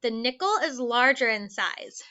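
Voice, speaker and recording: A woman's voice: a little high, lively intonation, measured pace, sharp tone, smooth, highs cut off. A woman teacher reading aloud a lesson for young children in an instructional manner, explaining things step by step. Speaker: elementary school teacher.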